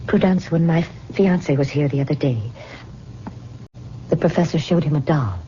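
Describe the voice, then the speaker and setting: An actress playing a frightened young fiancée tormented by a spell, in a melodramatic, pleading old-time radio style. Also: female voice, low voice, normal speed, mellow, natural voice. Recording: muffled, noisy old radio recording.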